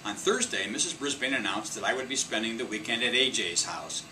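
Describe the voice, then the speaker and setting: A man reading a children's story aloud in an expressive storytelling style. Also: mid-range voice, brisk. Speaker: storybook reader. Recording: distant mic, clear air-conditioning hum.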